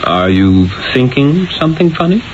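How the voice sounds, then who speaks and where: An actor playing an urbane, exasperated Renaissance painter in a 1970s radio drama, with a theatrical, emphatic, probing manner. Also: male voice, medium pitch, very animated, moderate pace, natural voice. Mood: baffled, exasperated. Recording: dull, hissy old radio broadcast.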